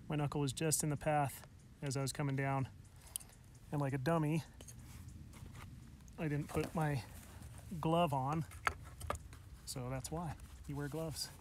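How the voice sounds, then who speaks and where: A man's voice: fairly high pitch, darkish tone, a little hiss or hum. A middle-aged man hosting a camping vlog outdoors, chatty and self-deprecating as he explains his gear step by step to a camera. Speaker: outdoors channel host. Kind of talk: casual bushcraft vlog narration. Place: outdoors at a mountain campsite. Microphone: camera mic, body cam and camera on a tripod.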